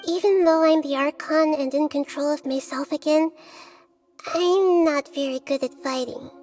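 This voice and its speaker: Wise little girl goddess in a game, high-pitched, gentle, calm, sincere, measured articulate delivery, faint music underneath. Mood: confessing, earnest. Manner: measured, earnest, articulate explaining. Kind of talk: video game voice acting.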